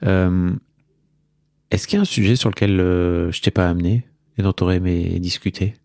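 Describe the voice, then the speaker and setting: A man's voice: low voice, steady tone, moderate pace, a little mellow, no room sound, studio quality. A man hosting a casual interview, speaking informally and hesitantly as he searches for his words. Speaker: podcast host interviewer.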